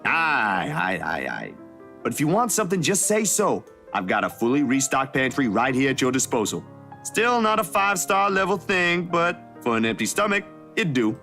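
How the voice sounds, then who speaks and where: A woman's voice: rumbling low, sing-song, moderate pace, fairly bright tone, voice pushed down, heavily acted. A woman acts out a young man from a visual novel, caring but easily exasperated. His delivery swoops widely and lands hard on words, with faint music behind him. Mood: offering, friendly. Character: young man, exasperated, caring, wry narrator-hero Zach. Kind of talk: visual novel dialogue read aloud.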